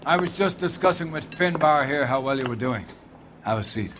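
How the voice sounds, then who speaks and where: A man's voice: a little high, lively intonation, moderate pace, fairly bright tone, slight background noise. A man playing a folksy, expansive older police captain in a video game cutscene. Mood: genial authority.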